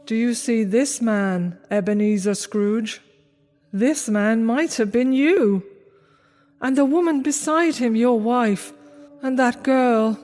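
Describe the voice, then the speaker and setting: A woman from an amateur radio play voicing a grave, slow-speaking ghost, with a long reverb on her voice. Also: a little high, a little mellow. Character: solemn ghost of Christmas past.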